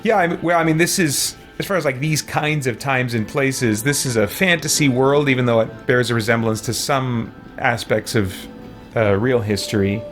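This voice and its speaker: Halting man chatting over game music. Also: medium pitch, a bit bright. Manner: halting, thinking aloud. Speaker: livestream game player and host. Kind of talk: casual livestream chat while playing.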